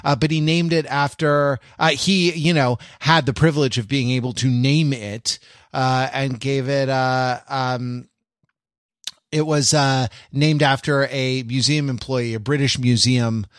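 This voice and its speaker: A man's voice: mid-range voice, fairly even intonation, no room sound, studio quality. A man co-hosting a podcast, talking in a casual, bookish way and often searching for his words. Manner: halting, searching, bookish digressions. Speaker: podcast co-host. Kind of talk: casual intellectual discussion.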